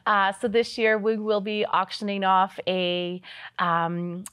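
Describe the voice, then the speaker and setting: A woman's voice: medium pitch, little pitch movement, takes it slowly, a bit bright, smooth. A middle-aged woman, a charity event organizer, guesting on a local TV talk show and speaking in a friendly way with many ums and you-knows. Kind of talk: TV interview guest. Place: TV studio set with couches.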